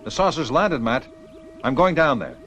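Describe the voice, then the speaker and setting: An actor playing a confident, heroic spaceship pilot in a 1960s sci-fi puppet series, brisk and commanding. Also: male voice, mid-range voice, animated, over loud music, natural voice. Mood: determined.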